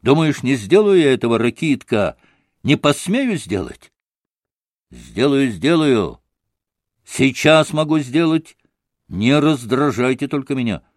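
A man's voice: mid-range voice, darkish tone, no room sound, clean studio sound, pitched above the performer's own voice. A man reading an audiobook, voicing a hysterical young woman who swings between fury and tears.

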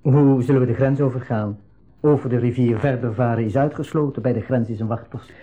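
An actor playing a serious, courteous rebel guide in a school radio drama, speaking in a measured, formal way. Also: male voice, medium pitch, dark tone, muffled recording, faint music behind, natural voice. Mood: earnest. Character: serious, grateful male rebel guiding the travellers.

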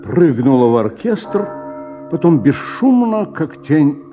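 A man's voice: a little high, big pitch swings, slow, muffled recording. A man narrating a literary short story for radio in a dramatic storytelling style. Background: music.

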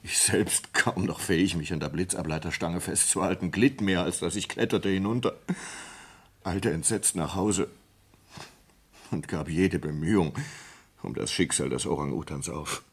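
An actor playing a distraught sailor in a German radio play, confessing in a halting, intense manner with a husky voice. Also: male voice, deep voice. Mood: horrified, subdued. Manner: halting, intense, swinging from hushed to agitated. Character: distraught sailor confessing a horrifying ape killing. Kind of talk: German radio play acting, dramatic monologue.

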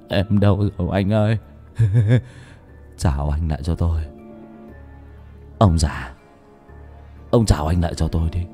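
A man's voice: low-pitched, dark tone, close to an everyday voice. A man voicing a spoiled young son, wailing and unhinged. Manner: wailing, frantic.

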